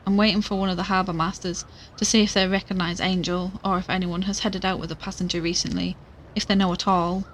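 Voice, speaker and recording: An actress playing a worried, determined young podcaster in an audio drama, earnest and conversational. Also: female voice, fairly low pitch, flat delivery, quick pace, slight background noise, close to an everyday voice, poor audio. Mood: hushed narrating. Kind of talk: audio drama dialogue and recorded narration.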